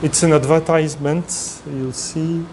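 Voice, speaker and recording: A man's voice: on the high side, fairly even intonation, unhurried, darkish tone. A man giving a guest lecture, hesitant and full of fillers and restarts, in a room with air conditioning hum. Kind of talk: academic lecture.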